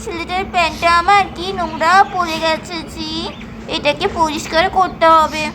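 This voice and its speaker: A woman's voice: very high-pitched, level tone, normal speed, noisy background. A woman playing a fussy, loving young village mother in a doll cartoon, coaxing and scolding with many exclamations. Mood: gentle, caring. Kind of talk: doll-play cartoon story acting.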